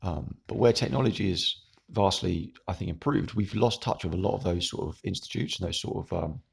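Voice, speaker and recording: A young man with a croaky, hoarse voice, rambling through a casual monologue to camera at home. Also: deep voice, brisk. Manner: rambling, meandering. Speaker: YouTuber.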